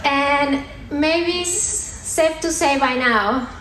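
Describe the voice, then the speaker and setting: A woman with a Colombian accent delivers a playful science talk from a theatre stage, teasing the crowd as she goes. Her voice sits a little high and rings out through a roomy hall, with faint ambience underneath. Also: extremely slow, background noise. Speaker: sustainability manager giving a comedy science talk. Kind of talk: stand-up style talk on stage. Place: theatre stage at a comedy festival.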